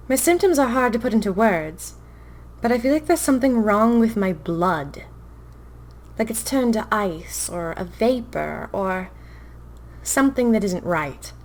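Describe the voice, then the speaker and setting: A woman's voice: medium pitch, lightly acted. A woman playing a whimsical, chatty, easily spooked 1920s diarist in a theatrical, wry audio drama narration. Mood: uneasy, fretful. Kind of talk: dramatic first-person diary narration.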